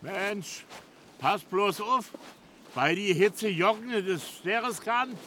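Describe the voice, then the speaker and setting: Gruff old villager, high rough voice, slow delivery, radio play. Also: male voice, a bit bright, a little hiss or hum. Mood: gruff, bantering. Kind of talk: naturalistic German radio play acting.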